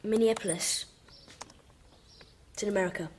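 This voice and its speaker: A woman's voice: mid-range voice, fairly even intonation, moderate pace, background noise, close to an everyday voice. A woman playing a polite, evasive young British schoolboy in an audio drama, deferential and defensive. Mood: nervous, evasive. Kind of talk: radio drama acting.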